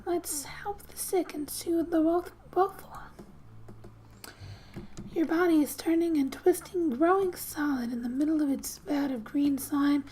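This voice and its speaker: A woman speaking softly and breathily, often near a whisper, reading a game's story aloud in a gentle, unhurried ASMR style with casual asides. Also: high-pitched, slight background noise, rough recording. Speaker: ASMR gamer and host.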